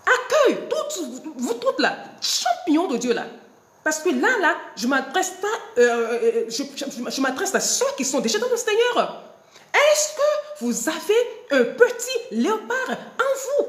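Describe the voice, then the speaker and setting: Animated woman preaching with a cold. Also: sits high, echoey room. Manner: animated, laughing often, with many restarts. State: has a cold. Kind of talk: devotional sermon.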